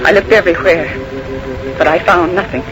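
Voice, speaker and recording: An actress playing an earnest, determined secretary in a 1940s radio mystery, speaking with precise intensity. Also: female voice, fairly low pitch, fairly even intonation, brisk, music in the background, natural voice. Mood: tense, determined. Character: earnest, determined female secretary turned amateur sleuth. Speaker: narrator and character in a radio mystery. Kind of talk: 1940s radio drama acting. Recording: noisy old 1940s radio recording.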